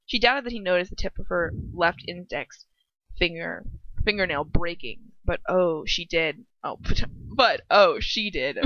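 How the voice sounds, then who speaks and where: Bright-voiced, flustered young woman reading aloud. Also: on the low side, no echo, highs cut off. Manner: self-interrupting, flustered, giggly, sweary. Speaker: host reading fan fiction aloud. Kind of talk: casual group read-aloud with asides.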